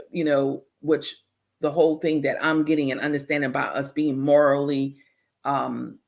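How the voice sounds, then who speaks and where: Man giving halting, rambling commentary. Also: a little high, vocal fry. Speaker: presenter commenting on clips. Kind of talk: informal religious-historical commentary.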